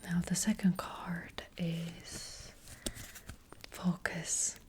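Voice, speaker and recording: A woman voicing a gentle witch fortune teller in a soft ASMR roleplay, whispering breathily and hesitantly, close to the microphone. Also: fairly low pitch, little pitch movement. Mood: gentle, advising. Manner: gentle, hesitant, slow and reassuring.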